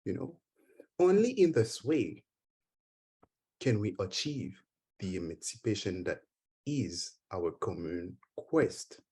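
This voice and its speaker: A man from Haiti with a Haitian accent, giving an earnest conference talk and taking questions, halting at times with repeated words. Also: lowish voice, big pitch swings, mellow, husky. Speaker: conference speaker. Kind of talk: conference speech then Q&A.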